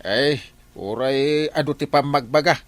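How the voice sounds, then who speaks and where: A man playing a comical, excitable village captain with an exclamatory manner in an Ilocano comedy radio drama, with an Ilocano accent. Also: mid-range voice, unhurried, heavy background noise, close to an everyday voice.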